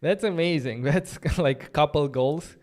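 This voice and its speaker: Smooth-voiced man with a Romanian accent. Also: fairly high pitch, unhurried, darkish tone. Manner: thinks aloud, loose and chatty, many "like" fillers. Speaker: host of a coding livestream. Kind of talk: casual live-coding stream. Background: faint background music.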